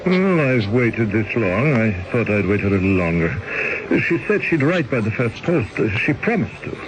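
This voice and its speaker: A hesitant, yearning exile. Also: male voice, lowish voice, very animated, moderate pace. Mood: wistful. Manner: wistful, hesitant, full of fillers. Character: restless male exile yearning for money and his wife. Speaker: exiled former official. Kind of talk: 1970s radio drama acting. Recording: hissy old radio broadcast.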